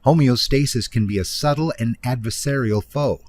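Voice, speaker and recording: A man's voice: mid-range voice, moderate pace, darkish tone. A man reading scripted nonfiction narration in an explanatory manner, speaking directly to the listener. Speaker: audiobook narrator, author reading own book.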